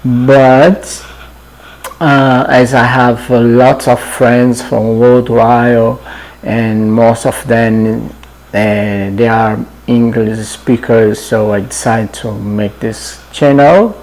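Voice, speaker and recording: A Brazilian man in his fifties with a Portuguese accent, introducing himself to viewers in a halting, friendly way, often trailing off with "yeah". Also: mid-range voice, fairly even intonation, a little mellow, poor audio. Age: 50s. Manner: halting, many fillers and restarts, often adds "yeah". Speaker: channel host. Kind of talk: casual personal introduction.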